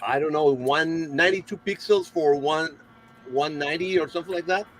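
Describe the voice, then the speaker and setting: A man in casual show-and-tell chat, good-humoured, halting and repeating his words as he explains. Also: fairly high pitch, fairly bright tone. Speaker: hobbyist guest on the stream. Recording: faint noise, room ambience.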